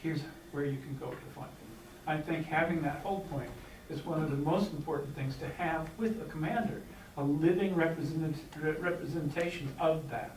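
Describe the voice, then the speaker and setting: A man's voice: fairly high pitch, moderate pace, hardly any pauses, a little mellow. A man from the United States speaking from the floor at a meeting, hesitant, with many fillers and restarts. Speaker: clan member speaking from the floor. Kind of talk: unscripted remarks at a meeting. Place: meeting room. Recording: echoey hall, distant mic, faint hiss.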